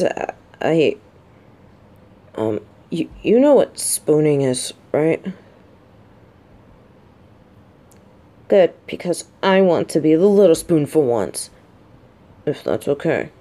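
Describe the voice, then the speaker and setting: A woman plays a female military bodyguard in a romantic audio drama. Her voice is on the low side and mellow, with an unhurried pace. She is gruff on the surface but shy and lovestruck, halting and easily flustered. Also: big pitch swings, heavily acted. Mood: shy, content. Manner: halting, flustered, soft-spoken. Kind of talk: scripted romantic audio roleplay.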